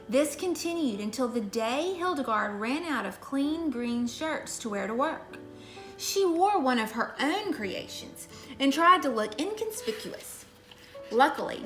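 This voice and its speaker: A woman's voice: high voice, fairly bright tone, echoey room. A woman reading a children's storybook aloud in a scripted narration style. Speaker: story reader.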